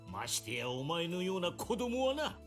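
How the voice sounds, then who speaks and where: A man playing a stern old mayor and father in an anime visual novel, gruff, commanding and scolding. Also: high voice, very animated, quick pace, faint music behind, heavily acted. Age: older. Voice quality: gravelly, forceful.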